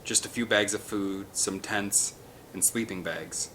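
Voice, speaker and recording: A man's voice: on the low side, measured pace, fairly bright tone, a little hiss or hum, rough recording. A man narrating an adventure audiobook in a dramatic, suspenseful, theatrical way. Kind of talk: dramatic scripted storytelling. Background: orchestral film soundtrack music.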